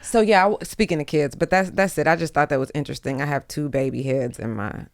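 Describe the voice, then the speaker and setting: A woman's voice: sits low, quick pace, no room sound, clean studio sound. A woman talking as a podcast guest in a casual, blunt and slangy way. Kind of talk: casual chat.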